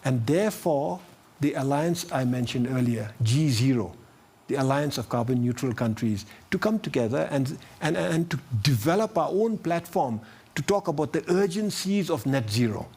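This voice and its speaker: A man speaking as a panelist, halting and repeating words as he restarts his sentences. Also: mid-range voice, lively intonation, darkish tone, breathy edge. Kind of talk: panel discussion.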